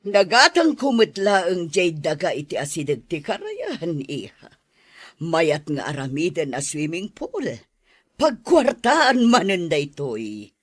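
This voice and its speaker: A woman's voice: fairly low pitch, huge pitch swings, slow pace, no room sound, heavily acted. A woman playing a brash, scheming rich madam who gives commands in a radio drama. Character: bossy, scheming, moneyed madam planning a swimming pool business.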